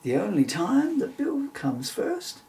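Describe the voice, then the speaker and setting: A middle-aged man reading a children's story-poem aloud in an expressive, theatrical way. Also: extremely high pitch, slow pace, gravelly, distant mic. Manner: animated, theatrical. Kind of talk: expressive rhyming storybook narration.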